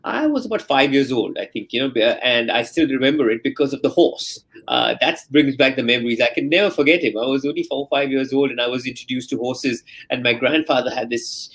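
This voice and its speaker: A man in his forties from India answers interview questions over a video call. His voice is slightly husky, his pitch in the middle range, and he tells his story earnestly, often saying "you know". He is heard through a laptop mic in a bedroom at home. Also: brisk, few pauses, fairly bright tone, poor audio. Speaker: guest, Olympic rider and author.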